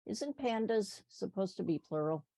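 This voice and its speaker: A woman, a book co-author, talking slowly in casual conversation. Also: mid-range voice, animated, creaky, warm tone, no room sound. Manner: slow, with frequent affirming "yeah" and "right". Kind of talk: conversational talk.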